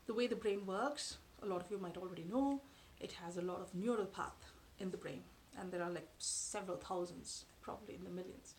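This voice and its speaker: A woman in her thirties whose first language is Tamil, talking to camera from a sofa in her living room in a measured, thoughtful way with frequent pauses. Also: medium pitch, a little hiss or hum. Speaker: vlogger. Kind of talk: explanatory talk to camera. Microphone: camera in front at close range.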